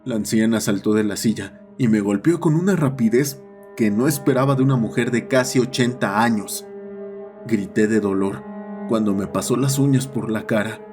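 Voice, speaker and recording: A man playing a schoolboy who narrates his own horror story in a measured, serious first-person style. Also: on the low side, a little mellow, soft background music, close to an everyday voice. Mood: sombre.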